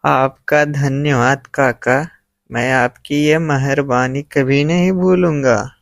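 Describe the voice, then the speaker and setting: A man's voice: medium pitch, steady tone, slow, gravelly, dry recording, clean studio sound. A man playing a worried, struggling village farmer in a moral-story cartoon, speaking earnestly. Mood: grateful, resolved.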